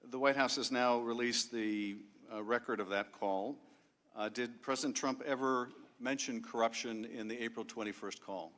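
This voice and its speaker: A man formally questioning a witness at a hearing, phrasing his questions deliberately and haltingly. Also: mid-range voice, steady tone, a bit bright. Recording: reverberant hearing room.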